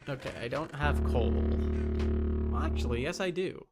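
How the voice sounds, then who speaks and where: A man's voice: medium pitch, normal speed. A man livestreaming a video game, casually commenting and grumbling to himself as he talks to his chat. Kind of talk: casual gaming stream commentary, talking to chat. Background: music.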